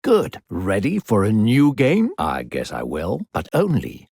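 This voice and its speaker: A man voicing a falsely sweet, controlling demon narrator in a video game, theatrical and condescending, with a gravelly, husky voice. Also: on the low side, huge pitch swings, measured pace, a little mellow, dry recording, studio quality, exaggerated voice. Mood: manic, gloating. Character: smooth-talking sinister demon narrator coaxing a child.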